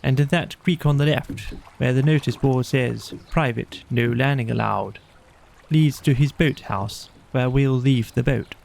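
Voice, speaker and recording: Man playing a chirpy water rat, lightly creaky. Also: mid-range voice, measured pace, a little mellow, a little hiss or hum. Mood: fond, chatty. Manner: breezy, chatty, enthusiastic. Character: cheerful, good-natured, indignant male water rat. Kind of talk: storybook character voice in an audio play.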